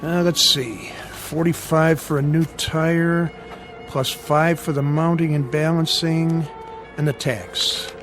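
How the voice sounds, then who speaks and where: An actor playing an easygoing, helpful small-town male mechanic in a radio drama, with a folksy, casual, unhurried way of talking. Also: fairly high pitch, fairly even intonation, over music. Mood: easygoing, friendly.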